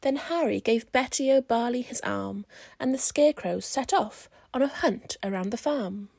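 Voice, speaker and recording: A woman's voice: fairly high pitch, normal speed, gravelly, a little mellow, dry recording, studio quality. A woman reading a rhyming children's story aloud as scripted narration. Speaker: storybook reader.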